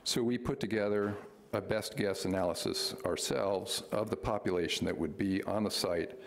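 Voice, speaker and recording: A man in his sixties speaks at a meeting podium in a very deep, gravelly voice. He talks in a measured, hesitant way with many fillers, and a faint hiss sits under the recording. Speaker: public commenter. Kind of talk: public comment at a meeting. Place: county board meeting chamber, indoors. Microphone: podium microphone.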